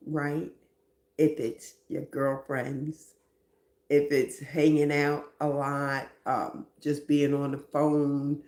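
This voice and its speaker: A woman's voice: low-pitched, mellow, roomy sound. A woman hosting a live stream, giving reflective motivational talk and often asking "right?".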